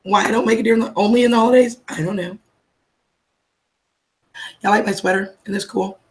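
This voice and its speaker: A woman, losing her voice from a cold, chatting warmly with viewers on a live stream and calling them darling and sweetheart. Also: medium pitch, steady tone, brisk. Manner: affectionate, calls everyone darling and sweetheart. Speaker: livestream host. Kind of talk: casual live chat greeting viewers.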